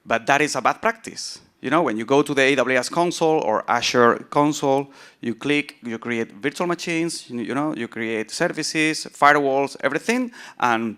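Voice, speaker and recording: A man from southern Spain with a southern Spanish accent gives an informal technical talk as a conference speaker, in a halting way with repeated words. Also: mid-range voice, a bit bright, clean tone. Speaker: conference speaker, software founder.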